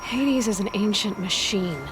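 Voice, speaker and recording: A woman's voice: medium pitch, measured pace, noisy background, close to an everyday voice. Sardonic, defiant young woman hunter played by an actress. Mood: scornful. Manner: dry, scornful, sarcastic. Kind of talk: naturalistic video game drama acting. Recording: loud music under the voice.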